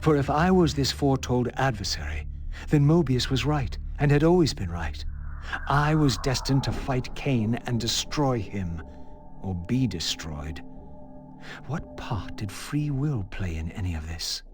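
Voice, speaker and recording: An actor playing a brooding, tormented undead wraith who narrates a dark fantasy game in formal, theatrical speech. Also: male voice, medium pitch, lively intonation, unhurried, lightly acted. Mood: anguished, questioning. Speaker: video game protagonist narrator. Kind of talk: dramatic scripted narration. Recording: music behind the voice.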